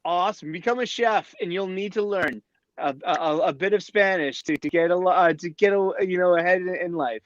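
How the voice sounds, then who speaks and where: Guy guest on a video call, kinda high voice, lots of ums and restarts, dull lo-fi sound. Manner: halting, many restarts and fillers. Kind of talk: casual chat on a livestream.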